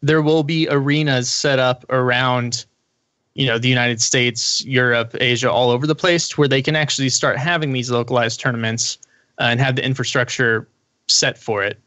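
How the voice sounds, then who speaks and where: A man's voice: mid-range voice, fairly even intonation, normal speed, sharp tone, no room sound. A man on a podcast panel, talking casually about gaming in a rambling, explanatory way.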